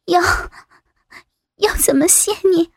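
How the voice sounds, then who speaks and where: Woman as a pleading timid assistant, halting delivery, very high creaky voice, audiobook drama. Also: a little mellow, no echo, studio quality, heavily acted. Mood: hesitant, reluctant. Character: timid, pleading adult office assistant under pressure. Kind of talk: dramatized adult audiobook dialogue.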